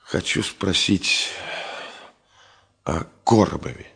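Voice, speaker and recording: An actor in a Russian radio drama playing a stubborn, ironic engineer with a husky voice and a dry, mock-polite manner. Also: male voice, low-pitched, slow pace, fairly bright tone, heavily acted. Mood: quiet, confiding. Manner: dry irony, mock-polite, emphatic repetition. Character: stubborn, ironic ailing engineer, busy with unfinished work. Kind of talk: 1970 Soviet radio drama acting.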